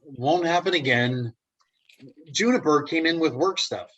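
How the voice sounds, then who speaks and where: A man's voice: fairly high pitch, a little slow, fairly bright tone, highs cut off. A man playing an exasperated, reluctant straight man in an office comedy, read dryly and curtly over a video call. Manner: dry, curt. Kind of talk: comic stage script table read. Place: home, online video call.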